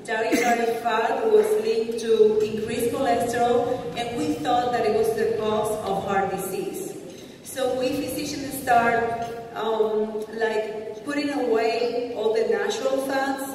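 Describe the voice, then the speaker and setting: A woman's voice: fairly high pitch, a little mellow, slight background noise. Puerto Rican woman physician lecturing in a hall. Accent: Puerto Rican Spanish. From Puerto Rico. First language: Spanish. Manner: quick, informal, with non-native phrasing. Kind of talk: conference lecture. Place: large conference hall. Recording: echoey hall from far off.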